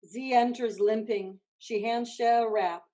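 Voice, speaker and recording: A woman's voice: a little high, steady tone, unhurried, mellow. A woman reading stage directions as scripted narration for a play.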